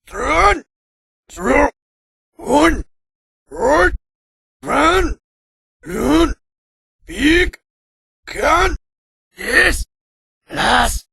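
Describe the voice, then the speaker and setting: Game creature casting: male cat warrior, very high gravelly bellows, wildly swooping, one drawn-out word at a time. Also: big pitch swings, sharp tone, heavily acted. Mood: fierce, forceful. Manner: bellowing single explosive words. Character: fierce male cat-folk warrior bellowing magic shouts. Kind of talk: video game battle shouts.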